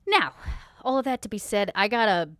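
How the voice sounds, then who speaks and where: A woman's voice: mid-range voice, lively intonation, fairly quick, fairly bright tone, dry recording, studio quality, lightly acted. A woman playing a shrewd, protective vampire fixer in improvised role-play, with a folksy, slangy, coolly threatening manner. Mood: businesslike.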